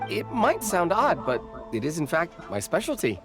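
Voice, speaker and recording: A nervous young market vendor stammers through her pitch. Her voice sits low for a woman yet swings lively in pitch. Game music plays clearly in the background. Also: normal speed. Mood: eager. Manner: flustered, stammering. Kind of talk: video game voice acting.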